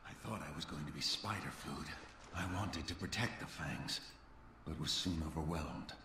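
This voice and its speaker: Weary fantasy game guardian, very low voice, earnest, echoing, background noise. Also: male voice, moderate pace. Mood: grateful, weary. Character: weary rescued male guardian of sacred fangs. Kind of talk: video game voice acting. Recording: echoey, noisy game audio.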